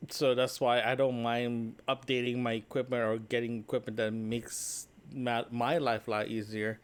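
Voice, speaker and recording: A man records a casual solo podcast diary in a mid-pitched voice. He speaks conversationally and loosely, wandering from thought to thought and often tagging his points with a small question. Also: clear, resonant tone. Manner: conversational, meandering, often checking with "right?". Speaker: solo podcast host and vlogger. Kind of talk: casual, rambling spoken audio diary.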